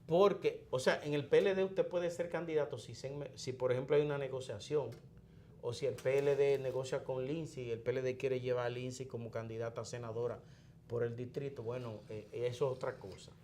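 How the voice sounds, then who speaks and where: A man from the Dominican Republic with a Dominican Spanish accent, giving morning political commentary in a deliberate, explanatory way with restarts and fillers. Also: medium pitch, steady tone, darkish tone, a little hiss or hum.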